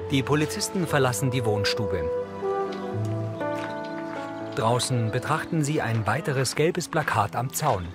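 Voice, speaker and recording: A man's voice: medium pitch, animated, unhurried, long pauses, over loud music. A man reading neutral scripted audio description as the narrator of a TV series.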